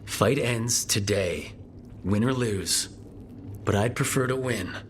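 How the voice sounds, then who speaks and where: A man playing a resolute adventurer and leader in a fantasy video game, speaking in a measured, earnest way. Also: fairly low pitch, a little hiss or hum. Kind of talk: acted game dialogue. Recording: game audio with clear background ambience.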